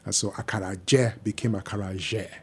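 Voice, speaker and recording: A man from Nigeria, a native Yoruba speaker, talking slowly on a podcast and pausing often with ums. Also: fairly low pitch, fairly even intonation, a little mellow, husky. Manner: slow and measured, many ums and pauses. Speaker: guest, architectural historian. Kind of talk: podcast conversation.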